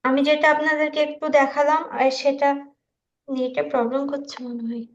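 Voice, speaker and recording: A woman's voice: high-pitched, fairly even intonation, normal speed. A woman presenting casually to an online group, with a slightly nervous, hesitant manner. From India. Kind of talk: casual screen-share presentation. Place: online group video call. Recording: echoey, muffled online call.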